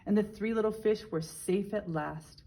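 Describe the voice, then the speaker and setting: Casting: woman, mid-pitched, as a teacher reading a picture book expressively, adding chatty little asides. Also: moderate pace, dark tone, slight background noise. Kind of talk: animated children's storybook read-aloud.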